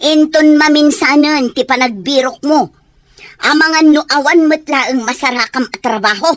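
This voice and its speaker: A woman plays a working wife who nags and sneers at her husband. Her voice is high and close-sounding with no room echo, in radio comedy drama style. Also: moderate pace, natural voice. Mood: scornful. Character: nagging, scornful working wife, a shop saleswoman.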